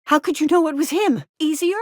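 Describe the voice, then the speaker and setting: Woman acting high-pitched, fretful, smothering frontier mama. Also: brisk, a little mellow, no room sound, clean studio sound, lightly acted. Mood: distraught. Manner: melodramatic, fretful, folksy. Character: doting, smothering, anxious middle-aged frontier mother. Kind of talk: video game character dialogue.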